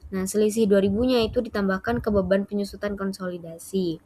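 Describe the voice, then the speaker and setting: A young adult woman gives an explanatory class presentation as a student, halting and correcting herself as she goes. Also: mid-range voice, fairly even intonation, mellow. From Indonesia.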